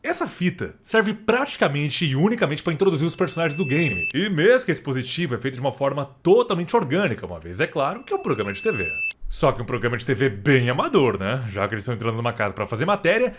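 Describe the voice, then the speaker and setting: Animated, fast-talking Brazilian man. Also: medium pitch, noisy background. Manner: sarcastic, mock-indignant, slangy. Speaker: gaming video narrator. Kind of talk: comedic game commentary.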